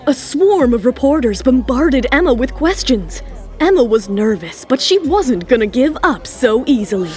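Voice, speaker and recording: A woman's voice: sits high, very animated, normal speed, darkish tone, soft background music. A woman narrating a scripted romantic drama as a storyteller.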